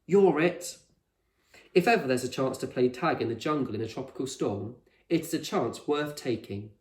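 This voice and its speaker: A man reading a children's novel aloud as a teacher, with expressive storybook narration. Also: medium pitch, a little fast. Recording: echoey room.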